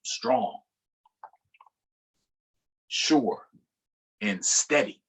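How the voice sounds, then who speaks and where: Man, storytime reader, slow delivery, husky tone, sing-song pitch, stops for little asides to kids. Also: lively intonation, fairly bright tone. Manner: unhurried, adds explanatory asides to young listeners. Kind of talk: reading a picture book aloud to children.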